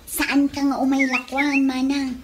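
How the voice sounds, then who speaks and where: An actress playing a nosy, blunt younger sister in a comedy radio drama, with an Ilocano accent. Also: female voice, high-pitched, monotone, measured pace. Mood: teasing, blunt. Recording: noisy radio drama recording.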